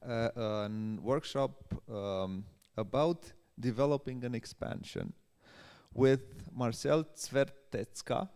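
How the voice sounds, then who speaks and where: A man hosting a live workshop interview at a festival, speaking in a hesitant, halting way. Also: mid-range voice, darkish tone, clear, resonant tone. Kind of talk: live event introduction and interview. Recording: echoey hall with long reverb.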